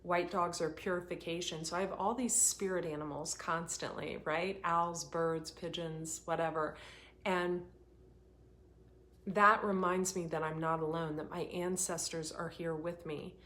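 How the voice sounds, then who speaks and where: A woman hosting a live oracle card reading, talking in an earnest, rambling way, her voice getting hoarse. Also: fairly low pitch, fairly even intonation, unhurried, a bit bright. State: voice going hoarse. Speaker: intuitive reader hosting a livestream.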